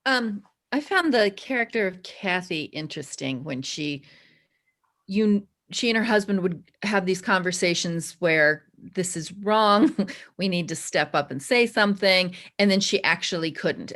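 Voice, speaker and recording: A woman talking casually and haltingly in a reflective group discussion on a video call. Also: on the low side, fairly bright tone. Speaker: discussion participant.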